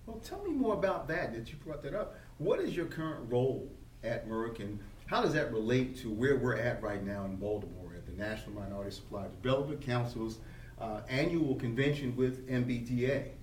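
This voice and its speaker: A man hosts a podcast interview with polite enthusiasm, addressing his guest respectfully, at a middle pitch. He is heard from a distance in a roomy, echoing space, with faint noise behind him. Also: animated, fairly quick. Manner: warm, enthusiastic, polite ("sir"). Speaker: podcast host. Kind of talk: interview hosting. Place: convention venue.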